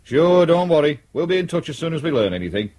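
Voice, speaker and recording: An actor playing a sardonic, ambitious British detective sergeant in a radio crime drama, with a dry, blunt manner. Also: male voice, a little high, sing-song, quick pace, darkish tone. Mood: businesslike.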